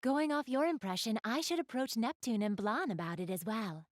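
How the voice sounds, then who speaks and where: A woman's voice: on the high side, moderate pace, no echo, studio quality, natural voice. A woman playing an elegant young goddess in an anime video game, speaking to the player with polite, genteel, formal diction and a keen gamer's refinement. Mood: wistful, determined.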